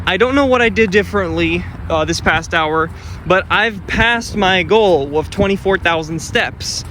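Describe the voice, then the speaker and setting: A man's voice: sits high, measured pace, sharp tone, slight background noise. A man vlogging casual updates on camera, upbeat and rambling, with fillers and restarts.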